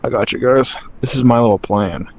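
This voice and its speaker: A man playing a gruff, chain-smoking off-duty villain with a deep, rough smoker's voice, rambling and swearing casually in a game roleplay. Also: fairly quick, a little hiss or hum, natural voice. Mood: laid-back.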